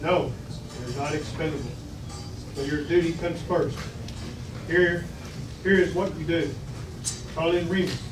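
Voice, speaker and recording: A man reading the part of a commanding, scheming male villain in a firm, deliberate way. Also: a little high, over music, lightly acted. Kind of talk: table read of a sci-fi script. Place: restaurant dining room table. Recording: distant, echoey, noisy restaurant.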